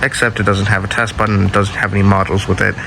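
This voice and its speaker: A man's voice: lowish voice, flat delivery, fast talker, gravelly, very noisy. A man giving a casual, unscripted show-and-tell as a hobbyist, rambling offhandedly and correcting himself as he goes. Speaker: hobbyist collector showing weather radios.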